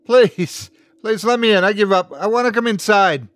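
A man's voice: a little high, sing-song, moderate pace, no room sound, voice pushed up. A man voicing a weak, frightened young man who pleads in an exhausted, wavering voice in an audiobook story. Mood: desperate pleading. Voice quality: faint, wavering, sob-like.

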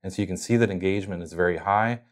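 A man's voice: low voice, rapid-fire. A man being interviewed as a guest, explaining casually how he runs his company's podcast, with plenty of fillers and restarts.

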